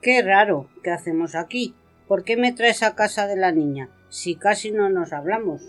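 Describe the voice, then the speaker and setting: An older neighbour woman with an accent from Spain, acted by a woman in an amateur radio play. She is a prickly recluse learning to soften. Faint music plays behind her mid-pitched voice. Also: moderate pace, no room sound, lightly acted. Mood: wry, puzzled.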